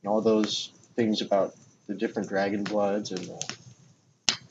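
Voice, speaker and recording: Lowish-voiced man on a noisy recording. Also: level tone, measured pace. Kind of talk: casual spiritual conversation. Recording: dull lo-fi recording with clear background noise.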